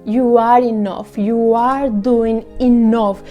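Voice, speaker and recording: A woman's voice: on the high side, steady tone, faint music behind. A young woman from Colombia, speaking English with a Colombian Spanish accent, in an earnest, heartfelt personal monologue. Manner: earnest and heartfelt, soft and measured. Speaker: vlogger.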